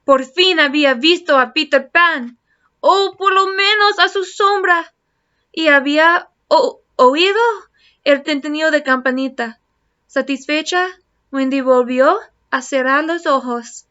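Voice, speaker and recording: Bright, high-voiced woman reading a storybook. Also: muffled recording. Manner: expressive, deliberate reading with questions to listeners. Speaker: teacher reading aloud to students. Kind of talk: children's storybook read-aloud in Spanish and English.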